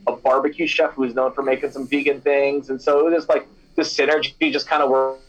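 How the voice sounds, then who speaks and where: A man's voice: medium pitch, fast talker, dull audio. A young man, a snack company founder and former radio DJ, answering interview questions in a casual, chatty way, full of "you know" and "like". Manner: chatty and animated, many fillers like "you know" and "like".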